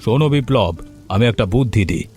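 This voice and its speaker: A man voicing a wise, modest middle-aged village benefactor in a cartoon, speaking gently and reasoning things through. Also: mid-range voice, animated, quick pace, soft background music, lightly acted. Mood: kindly. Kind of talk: cartoon voice acting.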